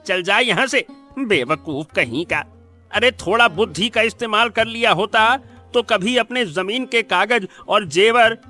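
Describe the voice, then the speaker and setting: A man acts out a greedy elder brother from a cartoon moral tale. The voice is extremely high and piercing, slow to drag out each scornful taunt. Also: bright, forceful tone, full character voice. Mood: gloating mockery. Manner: taunting, scolding, drawn-out jeers. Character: greedy, mocking elder brother who swindles his sibling. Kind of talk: Hindi cartoon moral story acting.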